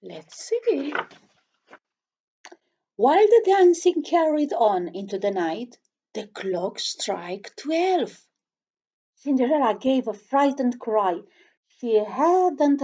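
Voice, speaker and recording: A woman's voice: on the high side, warm tone, lo-fi sound. A woman reading a children's storybook aloud, expressively, chatting to young listeners with questions and asides.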